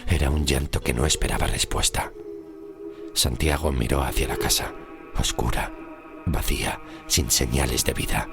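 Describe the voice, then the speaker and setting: A man from narrates a scripted horror story with suspense, in short weighted phrases that often sink into a breathy hush. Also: very deep voice, fairly even intonation, moderate pace. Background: music.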